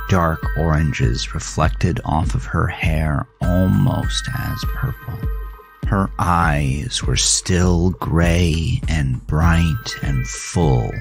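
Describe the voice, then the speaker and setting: A man narrating a scripted horror thriller in a dramatic, deliberate manner. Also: low voice.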